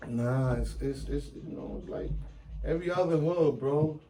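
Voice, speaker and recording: A man's voice: fairly high pitch, moderate pace, dark tone. A man, chatting as an interview guest in street slang and switching into Spanish now and then. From New York. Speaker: guest rapper. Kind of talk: casual interview chat.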